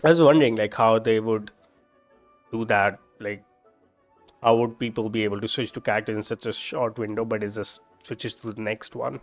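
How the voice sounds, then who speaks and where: Low, creaky-voiced man musing over gameplay. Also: fairly even intonation, normal speed. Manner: musing, thinking aloud while playing. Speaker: game streamer. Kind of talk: casual gameplay commentary. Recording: dull lo-fi microphone sound. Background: game music.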